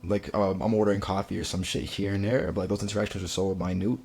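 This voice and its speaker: A man's voice: deep voice, little pitch movement, fast, a little mellow. A young man in casual podcast chat, slangy and rambling, full of fillers.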